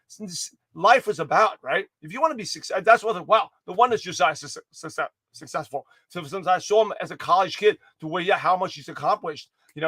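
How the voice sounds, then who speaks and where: A man with a high, quick, slightly hoarse voice delivers a motivational pep talk straight to his viewers. He rambles energetically, corrects himself mid-word, and keeps circling back to his point. The sound is dry and close, with no room around it. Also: dry recording. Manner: energetic, rambling, with many restarts and self-corrections. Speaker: motivational speaker giving a daily pep talk.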